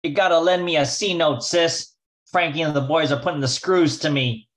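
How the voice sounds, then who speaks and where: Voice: mid-range voice, normal speed, natural voice. An actor playing a scheming young male gambler who talks in wise-guy slang in an amateur radio mystery. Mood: wheedling. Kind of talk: amateur old-time radio comedy-mystery acting.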